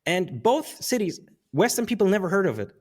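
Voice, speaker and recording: Guy in his 30s, talks kinda high, rambles and laughs a lot. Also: sing-song, brisk. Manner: rambling, restarts, laughs often. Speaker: guest. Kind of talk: casual interview conversation.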